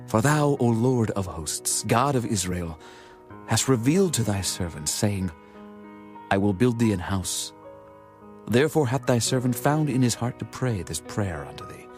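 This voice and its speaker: An actor playing a humble, reverent king of ancient Israel in a dramatized scripture reading, speaking in earnest, measured, formal phrases. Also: medium pitch, animated, darkish tone, close to an everyday voice. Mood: earnest, pleading. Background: music.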